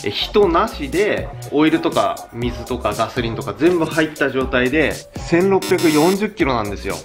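A man's voice: a little high, sing-song, normal speed. A man in his thirties, a car channel host, explaining things casually and hands-on to camera in a garage. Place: garage workshop, indoors. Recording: music behind the voice. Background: background music.

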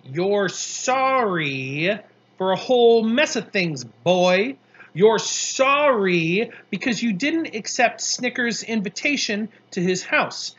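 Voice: mid-range voice, pushed and bright, muffled recording, a little hiss or hum. A narrator voicing an angry, bossy young girl from a storybook, with snappish, emphatic scolding.

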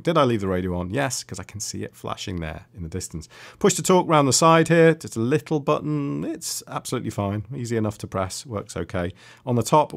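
Casual, understated man reviewing a gadget. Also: medium pitch. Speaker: product reviewer. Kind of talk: casual hands-on product review, unscripted. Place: indoors at a desk with audio gear.

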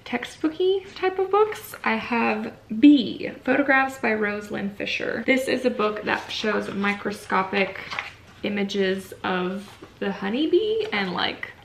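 A woman's voice: mid-range voice, lively intonation, slow, creaky, a little hiss or hum. A young woman chatting casually and informally to camera, like a vlogger showing things at home. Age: young adult. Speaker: book vlogger. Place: small furnished room at home, indoors.

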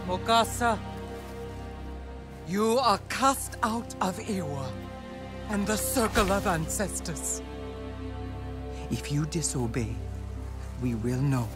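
A weary but commanding alien spiritual leader in a game cutscene. She speaks very slowly and solemnly, with prominent music underneath. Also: on the low side, expressive. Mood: grieving, condemning. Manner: solemn, deliberate. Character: weary, grave female Na'vi spiritual leader, authoritative. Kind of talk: dramatic video game cutscene acting.

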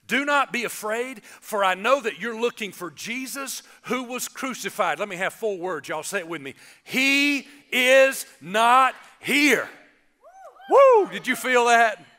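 Lively pastor preaching Easter. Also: male voice, extremely high pitch, measured pace. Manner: animated, gestures broadly, calls on the congregation. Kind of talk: energetic Easter sermon. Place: church stage, large indoor auditorium.

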